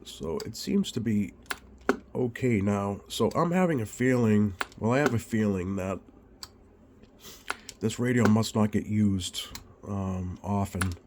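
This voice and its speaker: A man with a slightly scratchy voice, getting over a cold, talks informally through a repair demonstration in a hesitant, unhurried way, thinking aloud. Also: lowish voice. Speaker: radio repair technician and video host. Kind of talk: informal bench demonstration vlog. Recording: clearly audible background noise.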